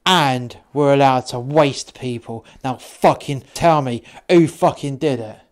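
A man's voice: medium pitch, a little slow, lightly acted. A man voicing an aggressive, threatening police officer who swears as he interrogates, in a storytelling style. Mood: menacing. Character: aggressive, bullying male police officer, foul-mouthed and threatening.

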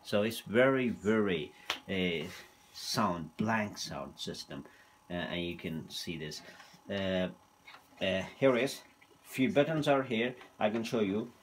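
A man presenting an informal hands-on unboxing in a hesitant, halting way, speaking non-native English in a room at home. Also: lowish voice, lively intonation. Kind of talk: informal hands-on product demonstration. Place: small room at home, indoors. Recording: noticeable background noise, handling clicks.

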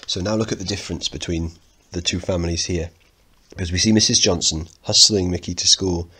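A man's voice: low-pitched, steady tone, a little fast, bright, forceful tone, slightly rough. A man teaching, narrating a play and explaining it in an instructive way.

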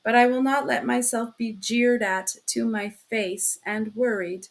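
A woman's voice: high voice, very animated, normal speed, slightly rough, full character voice. A woman voicing a proud, furious young male suspect in an audiobook, speaking distinctly and defiantly. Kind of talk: audiobook character acting.

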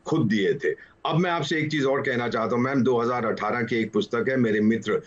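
A man in his seventies with a husky voice and an Indian accent, speaking as a courtly, deferential panel guest on a video call. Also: mid-range voice, fairly quick. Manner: courtly, addresses host with deference. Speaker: former diplomat, panel guest. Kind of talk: panel commentary. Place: at home. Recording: dull lo-fi video call sound.

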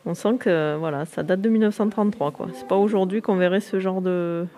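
A woman's voice: mid-range voice, fairly quick, warm tone. A woman casually describes an old photograph, thinking aloud with fillers.

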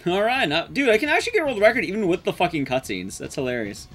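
A man's voice: sits high, sing-song, quick pace, fairly bright tone. A young adult man giving casual, joking, sweary live commentary as he plays a game. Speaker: game streamer, speedrunner. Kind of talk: casual live stream commentary while playing.

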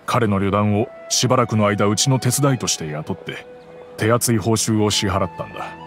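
An actor gives a kind middle-aged father with a lowish, steady voice his low register. He speaks in a calm, reflective way, full of quiet regret, as faint game music plays underneath. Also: moderate pace, natural voice. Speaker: wealthy father recounting the past. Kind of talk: game cutscene voice acting.

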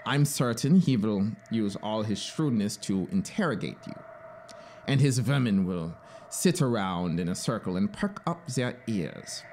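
A man's voice: on the low side, lively intonation, measured pace, darkish tone, a little hiss or hum. A man playing a zealous, scheming penal colony officer in a story, emphatic and persuasive. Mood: insistent, agitated. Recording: clear background synthesizer ambience.

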